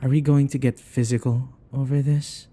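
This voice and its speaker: A man's voice: medium pitch, level tone, moderate pace, warm tone, natural voice. A man playing a jealous, possessive vampire lover in an ASMR roleplay, with a weary, drawling delivery. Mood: defiant, possessive.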